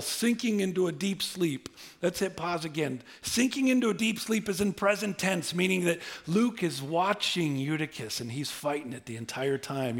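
A middle-aged male pastor giving a sermon, conversational and humorous, swinging from quiet asides to loud bursts. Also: sits high. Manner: humorous, conversational preaching with big swings in loudness.

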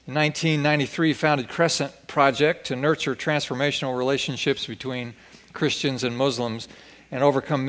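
A man's voice: medium pitch, fairly bright tone. A man reading a formal introduction of a guest speaker to a church congregation. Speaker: church pastor.

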